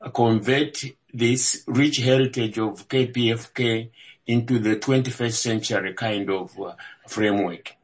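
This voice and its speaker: An older man from Zambia and South Africa introducing himself as a candidate at a public meeting, speaking in a measured way with frequent hesitations. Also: mid-range voice, fairly even intonation. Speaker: board candidate.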